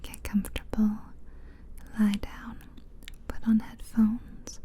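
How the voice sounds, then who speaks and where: A woman whispering a slow, soothing guided visualization as an ASMR host, close to the mic. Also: mid-range voice, monotone, warm tone. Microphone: close mic, ear to ear.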